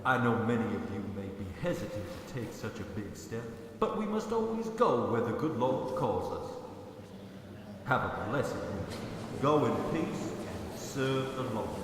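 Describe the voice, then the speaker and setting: An actor playing a pious, self-assured preacher in a radio drama, earnest and sanctimonious in manner. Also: male voice, mid-range voice, very animated, unhurried, slight background noise. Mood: exhorting, pious.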